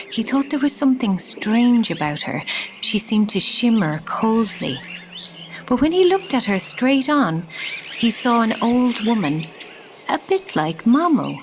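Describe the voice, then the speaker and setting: A woman's voice: mid-range voice, slight background noise. A woman narrating a children's audio drama as a storybook narrator.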